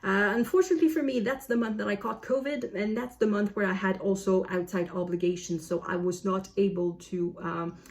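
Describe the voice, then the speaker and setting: Chatty woman vlogger, medium pitch. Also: fairly even intonation, fairly quick, hardly any pauses. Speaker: book vlogger. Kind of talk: casual chat to camera.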